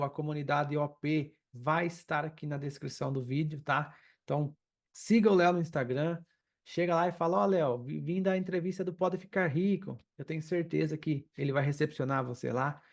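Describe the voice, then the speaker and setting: A man's voice: fairly high pitch, brisk. A Brazilian man speaking as a podcast co-host in a casual, conversational way. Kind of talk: casual podcast talk, addressing viewers.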